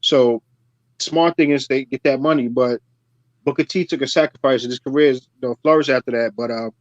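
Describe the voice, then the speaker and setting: A man's voice: medium pitch, a little fast, no echo. A man in his forties talking casually and conversationally about wrestling as a podcast panelist. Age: 40s. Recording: dull, dry lo-fi sound.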